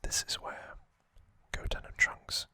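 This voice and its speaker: A man hosting ASMR, whispering a trivia recap in a breathy, unvoiced voice and short, halting phrases. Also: pushed and bright. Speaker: ASMR host.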